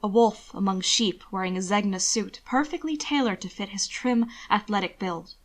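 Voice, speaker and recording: A woman reading scripted fiction as a narrator, in a measured, dramatic storytelling manner. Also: mid-range voice.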